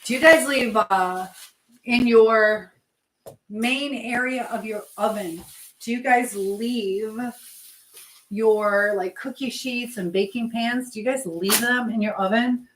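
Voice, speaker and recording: A woman's voice: a little high, slow pace, rough recording. Rambling, chatty woman livestreamer. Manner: rambling, chatty, hops between topics and viewers. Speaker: livestream host. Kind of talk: casual live chat, answering viewer comments.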